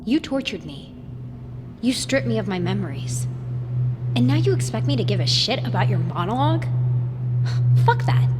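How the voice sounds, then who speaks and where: A woman's voice: fairly high pitch, sing-song, normal speed, long pauses, long reverb tail, heavy background noise. An actress playing a wounded, defiant young woman in an audio drama, speaking bluntly and emotionally. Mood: bitter, angry. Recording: loud music over the voice.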